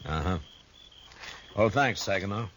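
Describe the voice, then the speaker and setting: An actor playing a calm, shrewd frontier marshal in a 1950s radio western, talking dryly and firmly. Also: male voice, lowish voice, a little slow, low-quality recording. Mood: steady. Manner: calm, dry and firm. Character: steady frontier lawman, grown man, calm and shrewd. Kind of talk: 1950s radio drama acting. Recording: faint hiss of an old radio recording.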